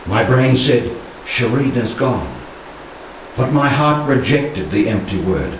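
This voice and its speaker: A man in his sixties reciting poetry solemnly, with measured, dramatic phrasing and pauses, into a handheld microphone in a room. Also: medium pitch, lively intonation. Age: 60s. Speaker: poetry reader. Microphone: handheld stage microphone. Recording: very echoey room, distant, faint noise.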